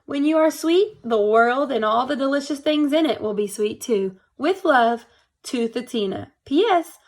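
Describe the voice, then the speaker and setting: Woman voicing a sweet, high-pitched tooth fairy. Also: animated, unhurried, heavily acted. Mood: kindly advising. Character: kindly, wise tooth fairy writing a gentle note.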